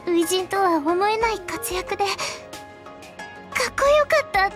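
A Japanese actress playing a sweet, excitable young girl soldier in an anime game. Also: extremely high pitch, measured pace, over music. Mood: shy, admiring.

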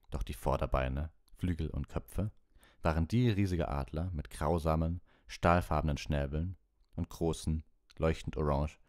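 A man with a remarkably deep voice reads a storybook aloud. It is scripted audiobook narration, recorded close and dry. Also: a little mellow, dry recording. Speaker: audiobook reader.

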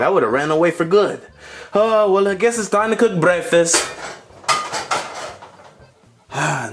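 A man acts out a high-pitched, grumpy puppet cook whose voice leaps wildly up and down. He is exasperated and panics easily, stammering and repeating himself in a comic skit with music behind. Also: heavily acted. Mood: grudging, weary. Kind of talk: comedic puppet skit acting.

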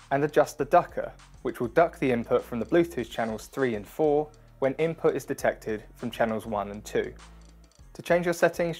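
A man in his thirties narrates a scripted product walkthrough. His delivery is clear and instructional, at a middling pitch, with music playing behind him. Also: darkish tone. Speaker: product demonstration presenter. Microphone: wireless lavalier or close studio mic. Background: background music.

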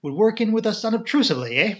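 A man's voice: sits high, lively intonation, quick pace, fairly bright tone, voice pushed up. A man voicing an English colonel and chief constable in an audiobook, courteous, affable and official in manner. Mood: confiding. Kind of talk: audiobook character dialogue.

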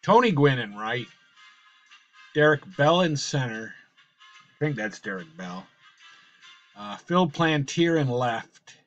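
A man calling a simulated baseball game in casual play-by-play, his voice slightly raspy from a cold, rambling and correcting himself as he goes. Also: medium pitch, animated, slow pace, pauses often, muffled recording, soft background music. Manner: rambling, with fillers and self-corrections. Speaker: host playing a baseball sim.

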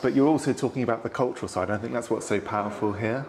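A man of mid-range pitch hosts an interview, asking curious questions and sprinkling in eager affirmations. He sits on a sofa in a lounge with a lapel mic, and faint ambience lies underneath. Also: quick pace, a little mellow, slight background noise. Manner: encouraging, frequent affirming interjections. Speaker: interview host. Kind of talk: conversational interview. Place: hotel lounge, seated on a sofa indoors.

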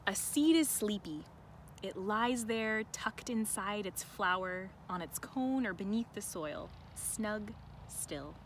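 Woman reading aloud outdoors, noisy background. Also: medium pitch. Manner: expressive, deliberate reading for children. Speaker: educator reading a picture book aloud to children. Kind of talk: read-aloud with chatty asides. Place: outdoors in a sunny farm garden. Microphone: camera held by another person nearby. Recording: outdoor ambient noise.

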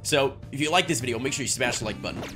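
A young adult man chatting excitedly on a gaming livestream, tossing out short repeated catchphrases. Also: mid-range voice, racing, faint music behind. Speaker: game streamer.

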